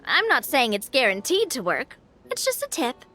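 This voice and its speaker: Very high, lively, cheeky young adventurer. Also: female voice, moderate pace, full character voice. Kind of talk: video game character acting.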